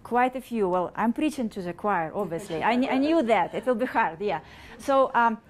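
A middle-aged woman from Ukraine speaks English with a Ukrainian accent and halting, informal phrasing. She gives a talk to a small seated audience in a store's event room. Her voice comes through a handheld microphone. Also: mid-range voice, animated. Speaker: guest speaker. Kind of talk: public talk. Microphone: handheld mic.

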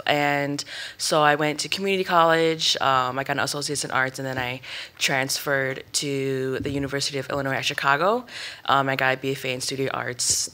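Bright-voiced woman panelist, halting. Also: extremely low pitch. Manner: rambling, many ums and restarts. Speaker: panelist artist. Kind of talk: panel talk. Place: stage at an indoor arts venue. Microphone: table microphone.

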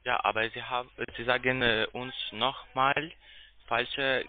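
A man with a foreign accent, a call centre agent speaking halting, broken sentences and repeating himself. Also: medium pitch, steady tone. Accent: foreign accent in German. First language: not German. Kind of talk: phone conversation. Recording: dry narrow phone line.

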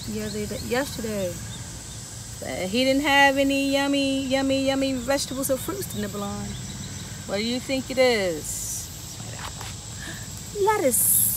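A woman reading a picture book aloud to children on a garden bench outdoors, playfully asking them questions between the rhymes. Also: a little high. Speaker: children's story time reader. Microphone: camera a few feet away. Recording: outdoor recording with clear insect noise.

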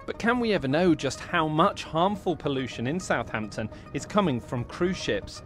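A man narrating a news report as the reporter, inquisitive and lively. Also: fairly high pitch, normal speed, over music.

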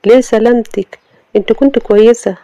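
A storyteller voicing a loyal, obliging servant with an Egyptian accent, polite and deferential. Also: male voice, extremely high pitch, steady tone, a little fast, warm tone, no echo, pitched above the performer's own voice.

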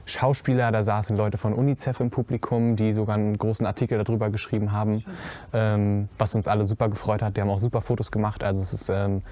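A man's voice: fairly low pitch, flat delivery, fast talker, dark tone, no room sound. A teenage boy on a stage panel, answering with stumbles and repeated words. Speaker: young cast member. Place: stage with curtain, indoors.

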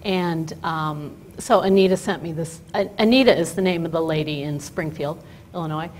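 An older woman giving a chatty, story-driven talk at a podium, telling it with fillers and asides in a loud voice. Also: low voice, moderate pace, a little hiss or hum. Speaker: guest speaker. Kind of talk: lecture with slides. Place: auditorium stage at a podium. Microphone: podium mic.

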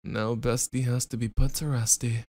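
A man playing a jealous, possessive bully boyfriend in an ASMR roleplay, taunting with slow, deliberate, mocking menace. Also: mid-range voice, little pitch movement, background noise, lightly acted.

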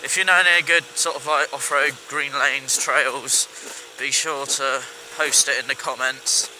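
A young man from England chatting casually to viewers from a helmet camera while riding a dirt bike, relaxed and rambling. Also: medium pitch, a little slow. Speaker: motovlogger. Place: riding a dirt bike on wet country roads. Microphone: helmet-mounted camera mic. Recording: helmet cam with engine and wind noise. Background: engine and wind noise.

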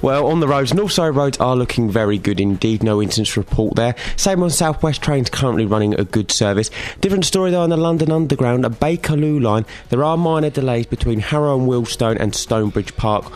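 A young man, a radio producer with a slight cold, reading travel news in a brisk, matter-of-fact tone in a small studio. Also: medium pitch, animated, a little mellow. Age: young adult. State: has a cold, slightly nasal. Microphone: studio microphone, headphones on.

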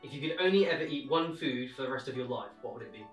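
Male interviewer, brisk delivery of short questions, roomy echo. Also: mid-range voice, animated, soft background music. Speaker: off-camera interviewer. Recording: roomy, echoey off-mic sound.

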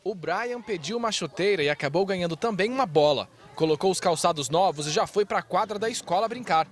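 A man's voice: high-pitched, expressive, fairly quick. A man, narrating a news report in a light, upbeat manner. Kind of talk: news voice-over.

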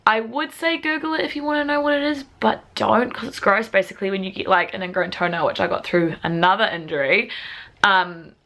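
A young woman in her twenties with a raspy voice, chatting casually to a camera held at arm's length, full of "like" fillers. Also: mid-range voice, fairly quick, pushed and bright. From New Zealand. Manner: chatty, filler-heavy with frequent "like". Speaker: vlogger. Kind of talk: casual vlog talk to camera. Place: apartment living room and parked car.

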